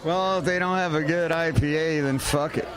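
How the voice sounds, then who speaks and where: A man's voice: fairly high pitch, steady tone, moderate pace, a crowd in the background, low-quality recording. A man in casual chat, giving laid-back, joking short answers.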